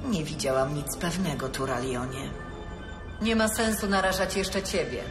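An actress playing a grave, determined elf heroine in an audio drama, speaking in an earnest, serious way. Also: female voice, low voice, normal speed, reverberant, music in the background, lightly acted. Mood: guarded.

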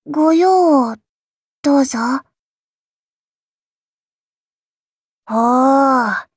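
A woman voicing a gentle, curious, dreamy young boy space traveler for an anime mobile game, with soft childlike wonder. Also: high-pitched, no echo, studio quality, exaggerated voice. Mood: polite, pleased. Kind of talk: Japanese anime mobile game character voice lines.

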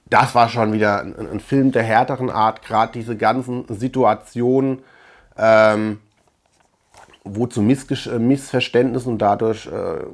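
A middle-aged man giving a casual film review to camera as a host, halting as he searches for words. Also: medium pitch. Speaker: host of a film collection channel. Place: small room lined with shelves of collectibles.

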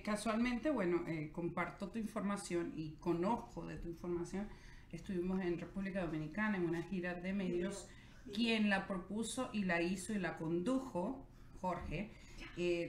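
A woman's voice: medium pitch, slight background noise. Middle-aged woman hosting, roomy studio sound. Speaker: talk show host. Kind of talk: interview hosting. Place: small TV studio with backdrop. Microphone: studio mic on stand.

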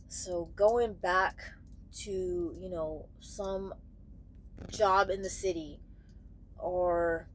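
A young woman talking casually and candidly to camera in a halting way, searching for words as she goes. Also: lowish voice, darkish tone, slight background noise. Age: young adult. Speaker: truck driver vlogger. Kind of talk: casual vlog talk to camera. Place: small padded truck sleeper bunk, indoors.

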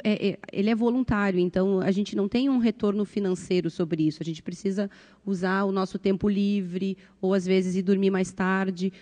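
A middle-aged woman with a southern Brazilian accent speaks in a soft-edged, mellow voice. She talks conversationally, as on an informal panel, and keeps dropping in little tag questions. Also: medium pitch. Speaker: volunteer translator, panelist. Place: conference panel stage, indoors. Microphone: handheld microphone.

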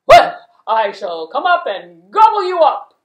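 A woman voicing a big, ugly, hungry male troll in a puppet show, loud and drawn-out. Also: wildly swooping pitch, reverberant, highs cut off, full character voice. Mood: menacing. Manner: loud, booming, drawn-out threats.

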